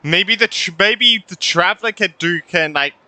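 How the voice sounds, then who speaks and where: A man giving casual gameplay commentary, halting and repeating words, with some swearing. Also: high-pitched, bright, forceful tone, dry recording. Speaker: gamer reacting to a story quest.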